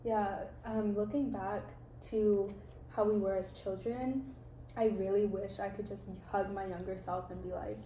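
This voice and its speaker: A woman's voice: medium pitch, fairly even intonation, a little slow, warm tone, reverberant, slight background noise, low-quality recording. A young American woman hosting a casual chat, telling stories about her life in an earnest, reflective way. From United States. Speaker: host.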